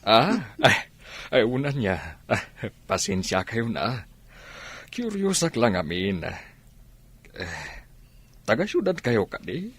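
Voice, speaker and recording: A man's voice: on the low side, big pitch swings, slow, pauses often, fairly bright tone, breathy edge, dry recording, full character voice. A man with an Ilocano accent playing a courteous, generous older businessman in a radio comedy drama, speaking politely and a little hesitantly. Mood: curious, polite. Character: courteous, generous older businessman befriending two college girls.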